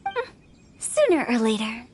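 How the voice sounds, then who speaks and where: A woman's voice: on the high side, wildly swooping pitch, measured pace, darkish tone, husky, exaggerated voice. A woman voicing a playful, morbidly cheerful young female funeral director in an anime video game, with a theatrical, teasing delivery. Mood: cheerfully morbid.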